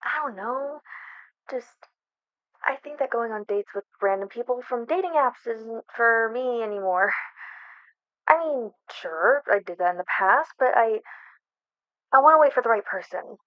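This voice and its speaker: Woman playing flustered young best friend, higher-pitched voice, hesitant stammer, casual ASMR roleplay delivery, very dry slightly dull recording. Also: animated, measured pace, pauses often, no room sound. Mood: casual, evasive. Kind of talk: ASMR roleplay, one-sided conversational acting.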